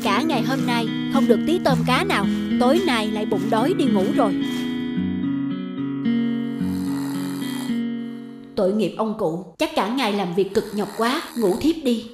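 A kind, poor young fisherman in a cartoon fairy tale, acted by a woman, has a fairly high voice. He muses gently and curiously to himself while music plays loudly behind. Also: animated, fairly quick, hardly any pauses, close to an everyday voice. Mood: weary, sympathetic. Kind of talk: animated folktale voice acting. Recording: loud music under the voice.

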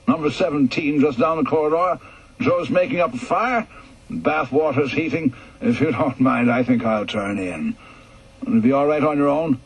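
A bumbling, offhand older RAF flight lieutenant, as a male storyteller puts him on. His voice is very husky and his manner is casual and friendly. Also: fairly high pitch, animated, moderate pace, voice pushed up, low-quality recording.

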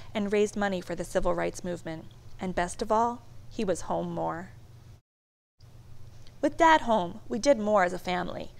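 A woman reading a children's storybook aloud in a measured, expressive storytelling manner. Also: mid-range voice, gravelly. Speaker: storybook reader. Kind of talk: read-aloud children's book narration.

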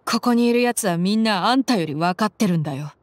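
A woman's voice: mid-range voice, lively intonation, fast talker, no echo, natural voice. Woman acting a tough, blunt female mercenary. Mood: stern. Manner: blunt, commanding, tomboyish speech. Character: tough, cool-headed young woman mercenary. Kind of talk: Japanese game voice acting.

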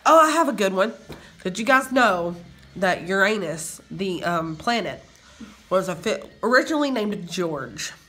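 A man's voice: high-pitched, very animated, measured pace, sharp tone. A young man with a sinus infection, chatting and joking drily at home into a phone camera. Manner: dry joking, playful. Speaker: vlogger's partner. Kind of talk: casual banter. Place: home, indoors.

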